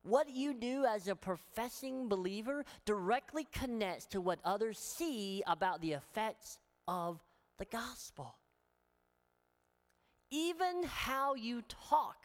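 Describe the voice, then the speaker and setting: A woman's voice: mid-range voice, expressive, slow. A woman pastor with a Southern accent, preaching a sermon in an earnest, emphatic way and asking many rhetorical questions. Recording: long hall reverb.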